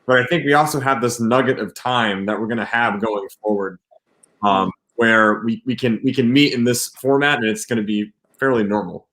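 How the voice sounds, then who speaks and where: Guy on a video call thinking out loud, mid pitch, loose and full of likes. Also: normal speed, rough recording. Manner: loose, filler-heavy, uses "like" often. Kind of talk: casual panel discussion.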